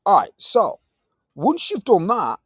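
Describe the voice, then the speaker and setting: A man in his fifties with a Yorkshire accent, teaching a science lesson in a chatty, lively manner with British slang. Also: high-pitched, slow pace, breathy edge, no echo, clean studio sound. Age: 50s. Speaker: tutor. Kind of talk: recorded tutoring lesson.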